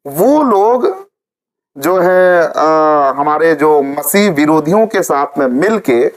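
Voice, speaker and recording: A man presenting informal news commentary to viewers, repeating phrases for emphasis. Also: high-pitched.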